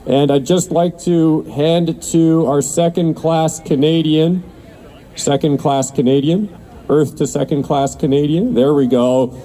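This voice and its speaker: A man's voice: a little high, a little slow, dark tone, poor audio. A man hosting an open mic, giving rambling, appreciative, informal announcements to a gathering. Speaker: event host at an open mic.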